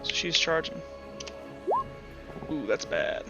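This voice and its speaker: A man working as game master for a tabletop roleplaying game, narrating off the cuff in a casual, halting way. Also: on the high side, big pitch swings. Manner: casual, halting, uses filler words. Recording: dull lo-fi, music underneath. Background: background music.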